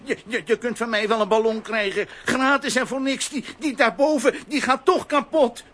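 A man's voice: very high-pitched, moderate pace, a bit bright. An actor playing a simple, kindly balloon seller who calls his wares and stammers nervously, in a children's radio play. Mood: anxious. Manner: street-vendor calls, stammers when pressed.

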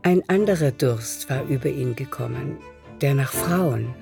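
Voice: mid-range voice, expressive, unhurried, creaky. A narrator reading a literary radio play in scripted storytelling style. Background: music.

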